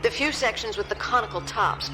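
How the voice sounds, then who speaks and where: Measured female scientist played by an actress, music behind. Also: a little high, natural voice. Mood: measured, factual. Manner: measured, explanatory. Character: composed, analytical female scientist briefing officials. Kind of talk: radio drama acting. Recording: music under the voice.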